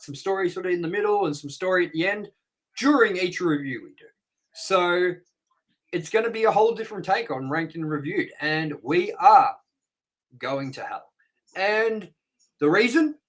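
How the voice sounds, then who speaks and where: A man hosting a review show, rambling and excitable as he wraps up the episode with plugs. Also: fairly high pitch, rough recording. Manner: rambling and excitable, with sound-effect noises. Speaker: host. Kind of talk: casual episode wrap-up with plugs.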